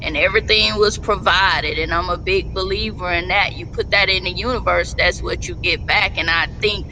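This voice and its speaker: A woman's voice: on the low side, steady tone, noisy background. A middle-aged woman, a business owner, talks plainly as a guest over a video call from indoors. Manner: slow, plainspoken, spells out names. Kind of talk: casual interview. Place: indoors at home, seated. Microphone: video call webcam.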